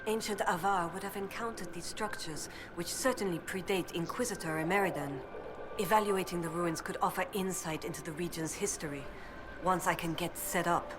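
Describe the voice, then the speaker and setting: A low-pitched young elven woman voiced by an actress speaks as an earnest fantasy game scholar. Her delivery is precise, thoughtful and determined. Clear background noise sits behind the dialogue. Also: fairly quick, close to an everyday voice. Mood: scholarly, determined. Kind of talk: video game voice acting.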